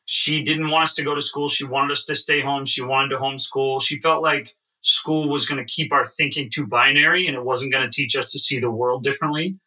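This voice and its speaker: Bright-voiced man telling stories on a podcast. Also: medium pitch, fairly even intonation, fairly quick, hardly any pauses, distant mic, poor audio. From Midwest US. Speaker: podcast co-host. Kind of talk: casual podcast chat.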